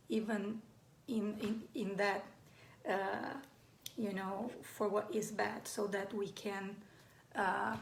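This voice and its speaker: Slow, gravelly Brazilian woman. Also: medium pitch, slight background noise. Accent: Brazilian Portuguese. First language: Brazilian Portuguese. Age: 40s. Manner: hesitant, many fillers and restarts. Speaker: playwright giving a talk. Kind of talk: live online presentation. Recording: roomy echo.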